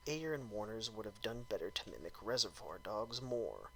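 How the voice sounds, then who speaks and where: A man's voice: fairly low pitch, expressive, normal speed, fairly bright tone, slight background noise, low-quality recording. A man giving a comedic, theatrical dramatic reading of a written review, with exaggerated emphasis and phrases that drop into breathiness. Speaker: performer reading out a film review.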